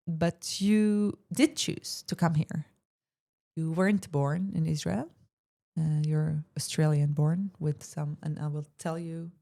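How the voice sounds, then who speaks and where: A woman's voice: on the low side, darkish tone. A woman co-hosting a podcast, asking questions in a teasing, laughing way. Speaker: podcast co-host. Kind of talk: casual podcast conversation.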